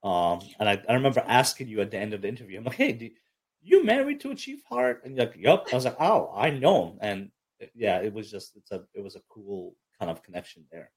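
A man from Egypt, an army chaplain and counselor, talking casually in an interview with frequent fillers and a relaxed, self-deprecating manner. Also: on the low side, a little fast, breathy edge.

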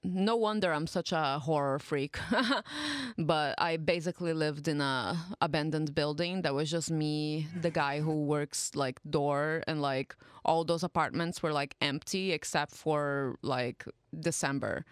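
A woman's voice: sits low, unhurried, clean tone, dry recording. A young woman from Brazil in a casual chat, telling personal stories in a chatty, informal way.

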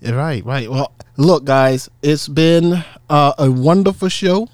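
A man's voice: fairly high pitch, slow pace, a little mellow, no room sound, studio quality. A man hosting a casual podcast discussion, talking in an encouraging, affirming way. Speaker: podcast host.

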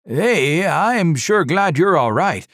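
A big, blustering driver with a raised, high voice, rushing his words and defending himself over and over, as a male narrator puts him on. The delivery is pushed and loud, heard in a dry, close recording. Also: sing-song, no room sound, exaggerated voice. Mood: relieved. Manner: loud, rushed, insisting it was not his fault. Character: loud disheveled man who caused a crash, defensive.

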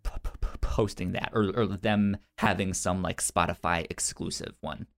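A man's voice: sits low, steady tone, normal speed, a bit bright. A man co-hosting a podcast, chatting casually with stammered, repeated starts. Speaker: podcast co-host.

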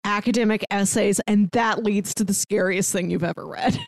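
A woman speaks as a podcast co-host in casual, conversational recap. Her pitch sits in the middle range, and the recording is dry, with almost no room around her voice. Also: fairly even intonation, moderate pace, no room sound. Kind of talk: casual chat, recapping a year of reviews.

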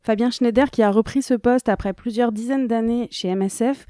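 A woman radio reporter narrating a scripted news report in an even, composed reading. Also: on the high side, normal speed, no room sound, studio quality.

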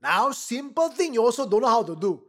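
A man voicing a bullying, furious army sergeant in a story, scolding in clipped Singlish with a raspy bark and a Singaporean accent. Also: very high voice, very animated, fairly quick, voice pushed up, exaggerated voice. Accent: Singaporean, colloquial Singlish. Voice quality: raspy, barking. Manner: shouted scolding in broken, clipped English. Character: raging, bullying male army platoon sergeant, scornful and crude. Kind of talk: acted dialogue in a story reading.

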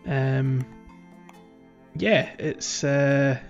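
A man co-hosting a gaming podcast, chatting casually and haltingly as he gathers his thoughts. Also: medium pitch, steady tone, lo-fi sound, soft background music. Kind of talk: casual chat about a video game.

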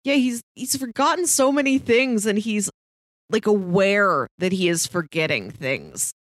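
A woman's voice: medium pitch, lively intonation, unhurried, no room sound. A woman chats as a podcast co-host in an easy, conversational style. Her mid-range voice moves animatedly up and down, and she readily acts out lines as she goes. The sound is dry, with very little room on it. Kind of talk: casual TV discussion podcast.

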